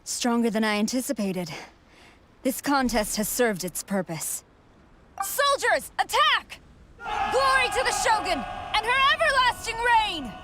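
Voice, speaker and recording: A woman's voice: medium pitch, unhurried, noisy background, close to an everyday voice. A woman playing a proud, fierce general of a shogun's army, declaiming commands in a video game. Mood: grudging. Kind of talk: video game battle shouts. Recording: loud battle music behind.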